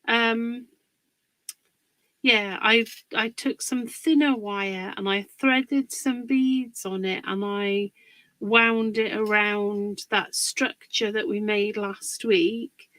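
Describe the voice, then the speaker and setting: A woman hosting a casual live craft demonstration, a little husky from a cold, chatting and greeting viewers by name. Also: medium pitch, steady tone, takes it slowly, fairly bright tone, dry recording, rough recording. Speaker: craft livestream host.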